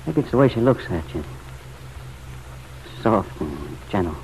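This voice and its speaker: An actor playing a torn, stubborn farmer in a vintage radio drama, plain-spoken, hesitant and guarded. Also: male voice, low voice, sing-song, a little slow, a little mellow, heavily acted. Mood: wistful. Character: torn, stubborn farmer still pining for his wife. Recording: dull, noisy old radio recording.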